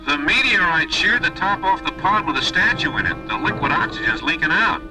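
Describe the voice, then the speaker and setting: A man plays a casual, joking co-pilot in a sci-fi radio drama. His voice sits a little high and bounces around in pitch, with music behind. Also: expressive, brisk, lightly acted, rough recording. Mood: bantering, relaxed. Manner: casual, bantering. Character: easygoing, joking male space shuttle co-pilot. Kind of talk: science fiction radio drama acting.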